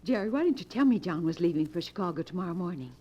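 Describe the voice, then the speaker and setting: An actress playing a gold-digging, scheming wife in an old radio drama, with a theatrical manner. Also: female voice, lowish voice, expressive, quick pace, mellow, husky, dull audio. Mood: breezy, curious. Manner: theatrical, cooing endearments. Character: gold-digging scheming wife, sultry, greedy femme fatale. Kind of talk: golden age radio drama acting.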